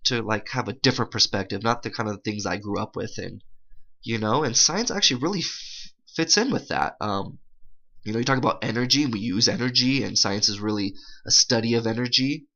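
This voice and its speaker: Casting: middle-aged male, lowish pitch, sharp bright edge, loose conversational voice-over with stop-start phrasing, slightly lo-fi recording. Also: a little fast. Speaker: interviewer and interpreter voicing over a signed interview. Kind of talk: casual interview voice-over, interpreted live in one take.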